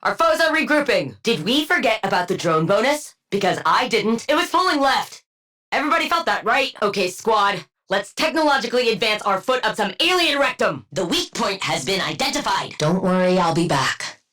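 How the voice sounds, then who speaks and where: A woman playing a brilliant, dry-witted scientist villain-antihero in a video game, speaking in a clinical, deadpan and wryly bookish way. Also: medium pitch, moderate pace. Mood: urgent, commanding. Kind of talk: video game combat voice lines.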